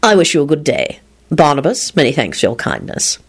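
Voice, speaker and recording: A woman's voice: sits low, very animated, a little fast, breathy edge, pitched below the performer's own voice, exaggerated voice. A woman voicing a cocky, defiant, foul-mouthed male wizard in an audiobook. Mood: mock kindly. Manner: mock-innocent, then brash yelling.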